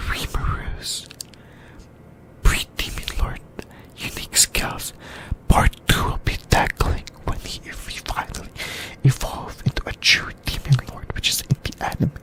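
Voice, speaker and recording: A YouTuber whispering an ASMR anime discussion in a halting way, in short broken phrases. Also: medium pitch, completely flat delivery. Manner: whispering throughout.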